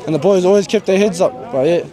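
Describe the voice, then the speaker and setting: Teen rugby captain rambling fast after the game, crowd chatter around. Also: male voice, high-pitched. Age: teens. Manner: rambling, laid-back slang. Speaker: team captain. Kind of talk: post-game interview. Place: outdoor sports field. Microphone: handheld mic. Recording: crowd noise outdoors.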